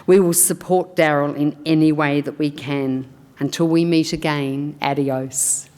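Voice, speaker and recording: A woman acting as funeral celebrant, reading a tribute aloud in a slow, measured, solemn way. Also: low voice, fairly even intonation, darkish tone. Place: funeral service hall. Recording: long hall reverb.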